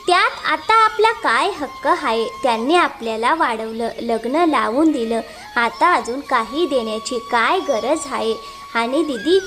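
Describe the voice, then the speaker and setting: A gentle, selfless young village wife voiced by a woman in an animated moral tale. The voice is high and melodic, earnest and respectful, over light background music. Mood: puzzled. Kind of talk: animated moral story dialogue.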